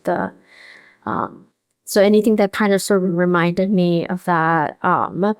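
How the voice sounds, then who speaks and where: A woman painter, a native Korean speaker, answering interview questions thoughtfully with many pauses and fillers. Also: lowish voice, clear, resonant tone, no echo, clean studio sound.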